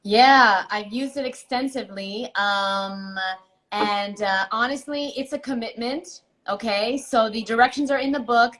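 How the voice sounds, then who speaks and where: A woman's voice: a little high, unhurried, fairly bright tone, poor audio. A woman guest in a casual interview, talking enthusiastically and casually about beauty tips. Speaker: guest, beauty ebook author.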